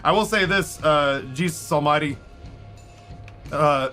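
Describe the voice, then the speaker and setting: A middle-aged man chats away over his own game stream, his voice sitting a little higher than most men's. He rambles and pokes fun at himself. Game music plays clearly behind him the whole time. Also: a little slow, bright, forceful tone. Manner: rambling, self-deprecating, talks nonstop. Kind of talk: casual chat while playing a video game.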